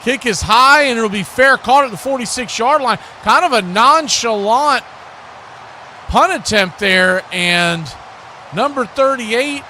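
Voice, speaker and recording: A man's voice: high voice, pushed and bright, slight background noise. A man hosting a live football stream, mixing play-by-play commentary with casual chat in folksy, colloquial phrasing.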